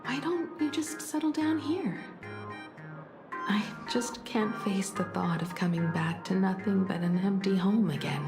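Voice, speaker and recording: A grown daughter in a video game, composed and tender. She speaks in a medium-pitched, reflective way, echoing slightly over music. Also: expressive, unhurried. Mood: pleading, tender. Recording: roomy echo, music behind.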